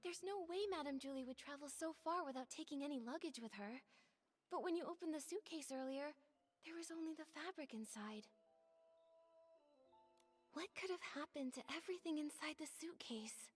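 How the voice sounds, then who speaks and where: Young game heroine voiced by a woman: high, gentle, earnest, thoughtful investigator. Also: moderate pace, soft background music, natural voice. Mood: pensive, earnest. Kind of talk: video game voice acting.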